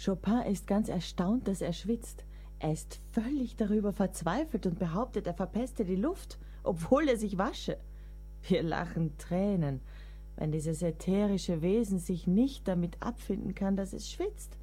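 Mellow, motherly writer nearing forty. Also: mid-range voice, expressive, measured pace, husky, soft background music. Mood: amused. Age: middle-aged. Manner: motherly, wry, dramatic. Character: devoted, exasperated woman writer nearing forty. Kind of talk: radio play acting.

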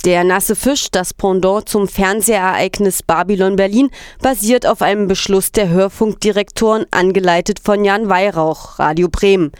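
Female news reader, smooth clean voice of middle pitch, dry studio-like sound, formal scripted copy. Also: steady tone, normal speed, hardly any pauses, no room sound. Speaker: reader of quoted articles and statements.

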